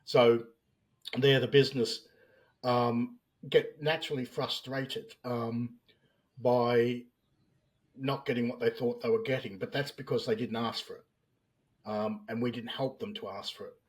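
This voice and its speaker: A man's voice: medium pitch, steady tone, unhurried, rough recording. An Australian man in his fifties, a business analyst speaking as a podcast guest and explaining plainly with everyday examples. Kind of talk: podcast interview.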